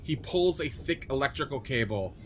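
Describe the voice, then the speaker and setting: A man's voice: medium pitch, lively intonation, normal speed, noisy background. A man narrating the action of a scripted radio play in a dramatic manner. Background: music.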